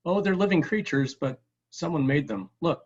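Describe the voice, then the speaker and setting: A man playing an earnest, analytical scientist in their sixties, explaining in a measured way at a table read. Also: on the high side, breathy edge, dull audio. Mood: earnest, intent.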